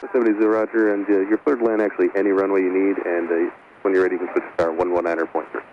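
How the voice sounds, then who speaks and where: A man's voice: sits low, little pitch movement, rapid-fire. A man working as an air traffic controller, giving radio instructions in an informal, accommodating, procedural way. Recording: narrow, dull aviation radio, faint noise.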